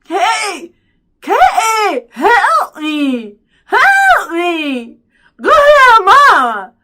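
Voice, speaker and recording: Storybook role: panicked, whimpering boy, played by a woman, mumbling through stuck lips, high and swooping. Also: huge pitch swings, very slow, bright, forceful tone, full character voice. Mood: panicked pleading. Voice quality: muffled, mumbling as if mouth full.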